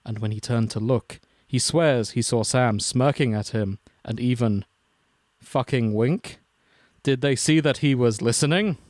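A man's voice: mid-range voice, a little mellow, dry recording, studio quality. A man co-hosting a casual comic podcast. He reads fanfiction aloud and jokes in a brash, sweary way. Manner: brash, sweary, quick to joke. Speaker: podcast co-host and reader.

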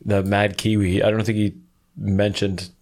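Low-voiced man hosting a casual chat. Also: flat delivery, normal speed, a little mellow. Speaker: podcast host.

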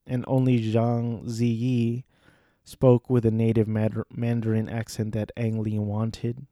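Guy rambling slow about stuff, lots of ums and restarts, warm low-key tone, dry close sound. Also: monotone, dry recording. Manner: hesitant, with many fillers and restarts. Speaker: solo commentator. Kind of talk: casual rambling chat.

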